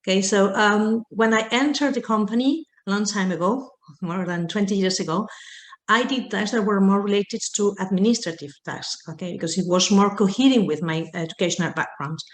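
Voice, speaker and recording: A woman talks earnestly on a video call and keeps putting in "okay" between phrases. Her voice sits at an ordinary pitch. The room around her sounds echoey. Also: a little fast. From Spain. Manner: frequent "okay" fillers, earnest. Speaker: panelist, logistics manager. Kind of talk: online round table answer. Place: home or office on a video call. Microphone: video call microphone.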